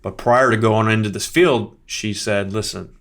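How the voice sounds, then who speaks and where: Low-voiced man telling a vivid story. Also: moderate pace, a bit bright. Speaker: podcast guest. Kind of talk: casual storytelling.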